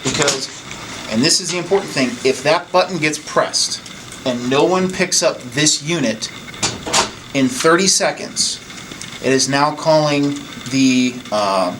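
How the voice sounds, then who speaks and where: A man's voice: on the high side, a little slow. Man explaining equipment over room noise. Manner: plain-spoken, explanatory, step-by-step. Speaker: technician giving staff training. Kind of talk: informal hands-on equipment demonstration. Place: indoors, at an equipment station. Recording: noisy room, clear background noise.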